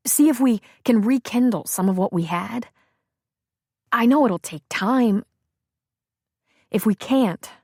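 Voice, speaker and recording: A woman reading an audiobook, voicing a remorseful ex-husband who pleads haltingly for another chance. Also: medium pitch, darkish tone, slightly rough, no echo, studio quality, exaggerated voice.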